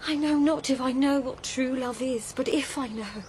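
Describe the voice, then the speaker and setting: An actress playing an innocent, ardent young maiden in a verse drama, earnest and theatrical. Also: female voice, high-pitched, normal speed, darkish tone, slight background noise, lightly acted.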